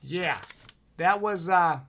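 A man with a Wisconsin accent talks casually into a headset mic from a room at home, reviewing a haunted house. His voice sits a little high and sounds bright and forward. He is enthusiastic and emphatic, with plenty of slang. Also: takes it slowly, gravelly. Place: home room with shelves, indoors. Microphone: headset with boom mic on video call.

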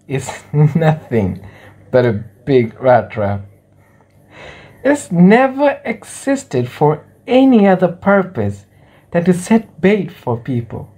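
A man's voice: fairly high pitch, mellow. A man playing a ragged, hungry vagabond rat trap seller in an amateur radio drama, brooding and cynical.

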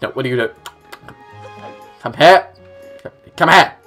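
A man's voice: medium pitch, animated, unhurried, a bit bright, soft background music. A young man hosting a gaming video, giving casual, joking commentary indoors on a headset. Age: young adult. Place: small indoor room.

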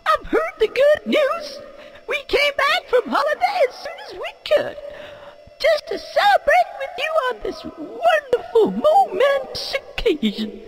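Gushing game cog, cartoonish, shrill, very high, animated swoops. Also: male voice, a little slow, heavily acted, low-quality recording. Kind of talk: cartoon video game dialogue.

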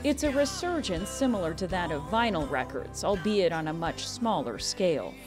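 A woman's voice: on the low side, sing-song, normal speed. A woman with an American accent reading scripted narration as a news correspondent. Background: background music.

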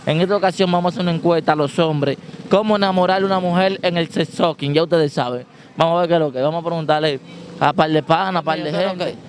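A brash young man works a busy street with a handheld mic, his voice pitched high. He teases passersby and keeps pushing for answers while faint traffic hums behind him. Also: quick pace. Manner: brash, teasing, pushes for answers. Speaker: street interviewer. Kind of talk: joking street vox pop. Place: busy city street outdoors.

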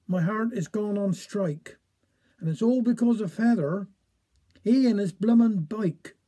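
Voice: mid-range voice, unhurried, warm tone, lightly acted. A reader voicing a lovesick young man who speaks in an earnest, pleading way. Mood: despairing.

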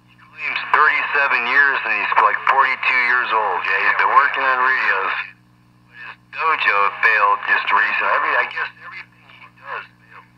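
A man rambling over CB radio in slangy, taunting chatter, scornful and gruff, repeating catchphrases. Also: medium pitch, expressive, normal speed, muffled recording. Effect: CB radio transmission. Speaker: CB radio operator. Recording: noisy, band-limited CB radio with hum.

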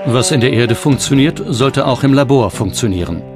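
A man's voice: medium pitch, expressive, moderate pace. A man reading scripted documentary narration as the narrator. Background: plucked guitar music.

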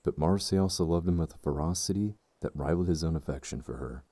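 A man narrates a full-cast audiobook with an extremely deep, dark voice. His reading is measured and somber, with a husky edge, recorded dry and close. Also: no room sound.

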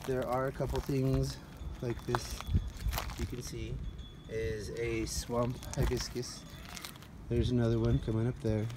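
A man talks casually and off the cuff, like a gardener showing someone around a yard. His voice sits at an average pitch. It is a handheld phone recording made outdoors, with very loud background noise. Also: little pitch movement, unhurried. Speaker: gardener giving a yard tour. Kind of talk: casual unscripted walk-through narration. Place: outdoors in a residential front yard, walking. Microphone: handheld phone held by the speaker.

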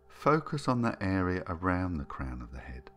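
A man with a very low voice leads a guided meditation. He speaks soothingly and gently, taking his time and leaving space between phrases. Music plays underneath his voice. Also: sing-song. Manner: soothing, gentle, unhurried with long pauses. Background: background music.

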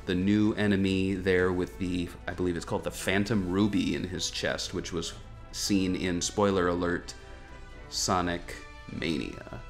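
A man hosting a gaming news show, giving casual, wryly joking commentary on a video game trailer. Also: sits low. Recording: music behind the voice. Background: background music.

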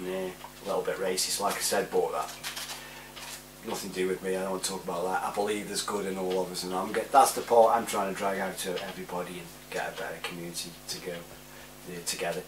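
A man's voice: sits low, brisk, fairly bright tone. Man chatting in a roomy, humming recording. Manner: informal, rambling, matey. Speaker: amateur video presenter. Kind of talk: casual chatty shout-outs to camera. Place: small living room, indoors. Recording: roomy, distant mic with hum.

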